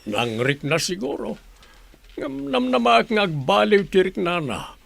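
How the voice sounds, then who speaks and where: A man's voice: sits high, very animated, a little slow, gravelly, a bit bright, exaggerated voice. A man playing a kindly, hopeful, inquisitive old grandfather in an Ilocano comedy radio drama, with an Ilocano accent. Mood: warm, hopeful. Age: older.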